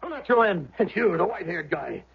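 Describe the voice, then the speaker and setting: A dull old radio broadcast carries a dogged American man with a grave air. His voice sits a little high and has a gravelly grain, in 1970s radio drama style. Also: very animated, fairly quick, crowd noise, heavily acted. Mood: alarmed, urgent.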